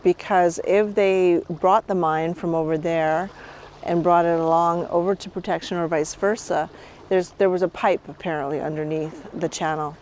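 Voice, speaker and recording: A middle-aged woman with a lowish voice talks as a spirit medium, calm and reflective, her sentences often fading softly. She is recorded outdoors on a coastal island. Faint outdoor noise sits behind her. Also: normal speed, dull audio. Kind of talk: on-location reality show narration.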